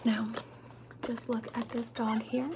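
A woman's voice: fairly high pitch, flat delivery, a little slow, noisy background. A woman performing an ASMR personal-attention roleplay, soothing and playful, repeating words and short phrases over and over.

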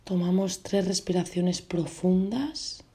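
Woman leading a guided meditation, very soft mellow tone, gentle short phrases w pauses. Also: lowish voice.

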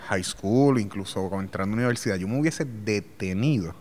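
A man's voice: on the low side, fairly quick, darkish tone, long reverb tail. A man hosting a casual interview, chatty and laughing often, mixing in English words. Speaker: podcast host.

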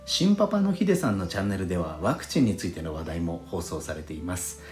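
A man's voice: sits low, big pitch swings, quick pace. A male podcast host chatting politely as he reads out listener letters, with formal, courteous phrasing. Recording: roomy echo, faint background music. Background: soft lo-fi flute jazz music.

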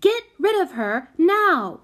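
A woman voicing a bossy, impatient, sharp-tongued girl in a storybook reading. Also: extremely high pitch, wildly swooping pitch, unhurried, pitched above the performer's own voice, heavily acted. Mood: angry.

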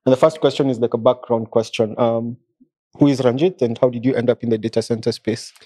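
A man's voice: medium pitch, steady tone, fairly quick, mellow, no room sound. A man hosting a podcast, speaking hesitantly with many fillers. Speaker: podcast host. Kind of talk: casual interview hosting.

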